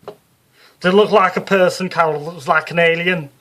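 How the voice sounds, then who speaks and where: Man voicing a loud, high-pitched brash Liverpudlian woman psychic. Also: fairly even intonation, moderate pace. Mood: alarmed, dramatic. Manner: loud, bossy, theatrical patter. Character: brash, bossy female Liverpudlian stage psychic, theatrical.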